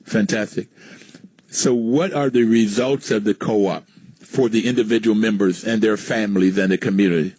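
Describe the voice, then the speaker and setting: A man's voice: lowish voice, steady tone, moderate pace, a little mellow, dry recording, low-quality recording. An American man from West Virginia hosting a conversational radio interview, asking questions and explaining points to listeners. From West Virginia, United States. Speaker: radio show host, interviewer.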